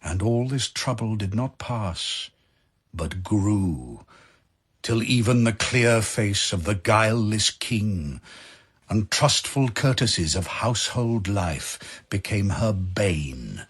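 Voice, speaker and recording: A man narrating dramatic verse in a measured, solemn manner with weighty pauses. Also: on the low side, lively intonation, breathy edge.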